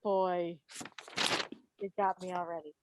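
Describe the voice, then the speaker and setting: A woman's voice: lowish voice, flat delivery, takes it slowly, background noise. A woman hosting a quiz over a video call in a playful, teasing, theatrical way. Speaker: quiz host. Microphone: video call microphone.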